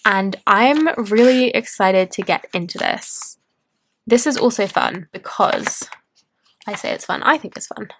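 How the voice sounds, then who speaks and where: A woman's voice: mid-range voice, lively intonation, a little slow, noisy background. Late-20s woman, casual vlog host, bubbly and rambling, mid-range voice. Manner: bubbly, rambling, self-interrupting. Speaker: planner and tarot vlogger. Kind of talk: casual chatty show-and-tell.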